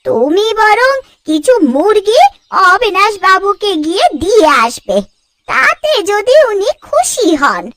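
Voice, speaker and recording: Shrill, very high-voiced village wife. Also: female voice, very animated, slow pace, a bit bright, dry recording, clean studio sound, exaggerated voice. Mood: worried. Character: anxious scolding village housewife, timid and cautious. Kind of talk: cartoon dialogue acting. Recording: faint music behind.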